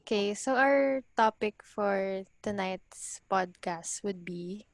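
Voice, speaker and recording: A woman's voice: medium pitch, slow pace, creaky, dry recording. A nineteen-year-old woman from the Philippines hosting a casual podcast chat, hesitant and giggly, switching between English and Filipino. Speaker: podcast host.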